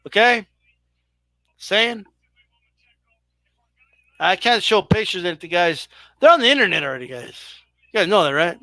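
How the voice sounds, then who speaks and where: High, bright-voiced man on a stream. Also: expressive, normal speed, pauses often, no echo. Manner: profane, chatty, banters with viewers. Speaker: boxing live-stream host. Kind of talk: casual live commentary to chat.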